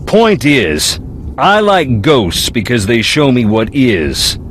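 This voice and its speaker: An actor playing a brooding, philosophical young ghost hunter in a video game, with a Southern US accent and a wry, folksy drawl. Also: male voice, medium pitch, sing-song, slow, fairly bright tone, a little hiss or hum. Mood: wry, proud. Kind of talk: video game character dialogue.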